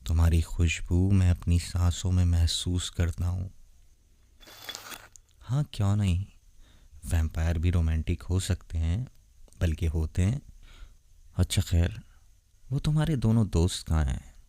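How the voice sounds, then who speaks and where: Casting: male actor as ancient vampire lover for ASMR roleplay. Deep, dark-toned, soft-spoken, tender and lonely. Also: no echo. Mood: affectionate. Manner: soft, intimate, gentle, unhurried. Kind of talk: romantic ASMR roleplay, addressed to the listener.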